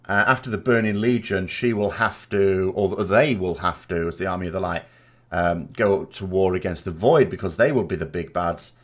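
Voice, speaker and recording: A man giving informal, speculative commentary as a gaming vodcast host, reasoning aloud as he goes. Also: sits low. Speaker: video game lore commentator and vodcast host.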